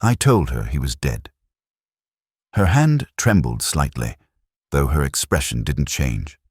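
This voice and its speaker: A man with an extremely deep voice narrates a detective mystery in a measured, solemn way. His pitch moves expressively through each reflective phrase. The sound is close and dry. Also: big pitch swings, darkish tone, breathy edge, dry recording. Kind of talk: slow literary first-person mystery narration.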